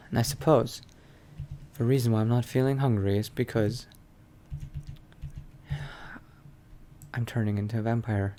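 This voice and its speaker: A man playing a thoughtful scholar who is turning into a vampire, musing aloud haltingly in an improvised roleplay monologue. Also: mid-range voice, steady tone, dark tone, slight background noise, close to an everyday voice. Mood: pondering.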